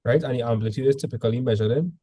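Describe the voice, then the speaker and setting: A man's voice: mid-range voice, rapid-fire, dark tone, slightly rough, dry recording, clean studio sound. A male teacher tutoring students in a casual session, full of "like" fillers, quizzing them with questions as he goes.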